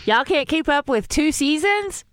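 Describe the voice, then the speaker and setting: A woman's voice: sits high, a little slow, no echo, studio quality. A woman co-hosting a podcast, chatting casually and animatedly about a TV episode. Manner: chatty, animated storytelling with rising exclamations. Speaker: podcast co-host. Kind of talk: casual fan discussion chat.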